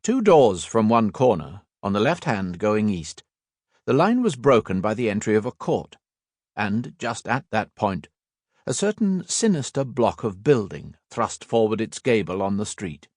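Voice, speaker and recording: A man reading a classic novel aloud as an audiobook narrator, with a measured, expressive storytelling delivery. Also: on the low side, dry recording, studio quality. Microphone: close studio mic.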